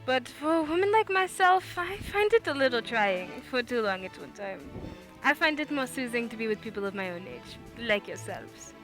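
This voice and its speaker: A woman's voice: a little high, animated, moderate pace, over music, lightly acted. A woman playing a flirtatious, imperious society adventuress in a radio play, with a coquettish, theatrical manner.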